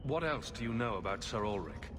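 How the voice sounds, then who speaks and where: An actor playing a sceptical, sardonic fantasy adventurer in a video game, with a dry, questioning manner. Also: male voice, on the low side, animated, brisk, background noise, natural voice. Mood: probing, dry. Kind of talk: dramatic video game dialogue. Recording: music behind the voice.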